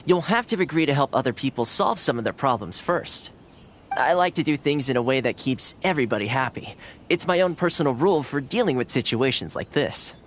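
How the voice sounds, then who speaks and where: A man's voice: a little high, a bit bright, a little hiss or hum. A man playing an affable, wry young fixer in a video game, easygoing and persuasive as he talks. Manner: smooth-talking, easygoing, persuasive. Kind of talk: video game dialogue acting.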